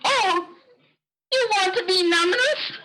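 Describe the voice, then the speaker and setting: A woman playing a cheerful, genteel charity collector, polite, earnest and deliberate, in an amateur radio play. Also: very high-pitched, sharp tone, heavily acted, poor audio. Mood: surprised, earnest. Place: performed remotely over a video call. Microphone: video call.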